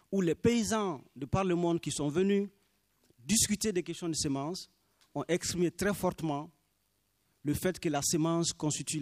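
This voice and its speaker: A man's voice: on the high side, a little mellow, no room sound. Man giving an earnest formal speech. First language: Minyanka. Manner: earnest, deliberate, emphatic on key phrases. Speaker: speaker for small farmers' movement.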